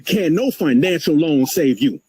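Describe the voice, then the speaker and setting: A man giving a fiery, defiant speech on a live stream, with emphatic rises in pitch. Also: expressive, unhurried, a little mellow, breathy edge, no room sound. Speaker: guest speaker.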